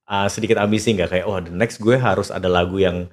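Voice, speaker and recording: A man's voice: deep voice, steady tone, a little fast. A man hosting a casual chat, slipping English phrases into slangy questions and interjecting agreement often. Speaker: podcast host, interviewer.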